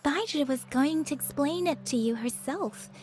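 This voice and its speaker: An actress playing a calm young woman in a game who explains things in a measured, unhurried way. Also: high-pitched, lightly acted. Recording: game dialogue with soft music.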